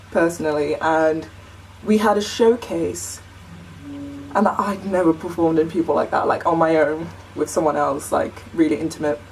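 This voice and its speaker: Deep-voiced young woman, chatty vlog. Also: a little mellow, recorded from a distance, a little hiss or hum, low-quality recording. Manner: chatty, earnest, many "like" and "you know" fillers. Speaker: vlogger. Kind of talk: casual chat to camera.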